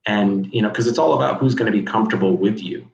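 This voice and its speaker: Frank male guest coach, lower voice, echoey, lo-fi video call. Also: quick pace, gravelly, darkish tone. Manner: frank, conversational, repeats words as he talks. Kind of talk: casual interview chat.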